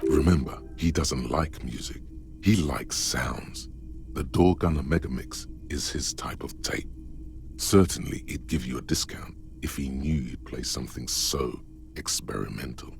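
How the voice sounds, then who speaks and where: An actor voicing a solemn, cryptic inner-voice narrator in a video game, speaking in measured, literary, brooding phrases with a gravelly voice. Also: male voice, exaggerated voice. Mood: conspiratorial. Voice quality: gravelly, very deep. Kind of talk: scripted second-person game narration. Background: music.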